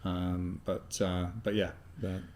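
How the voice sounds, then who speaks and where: A man in his fifties, a guest in a casual interview, talking in a halting way with many fillers. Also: low voice, monotone, a little mellow, slight background noise. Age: 50s. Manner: hesitant, heavy on fillers. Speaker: guest, business buyer.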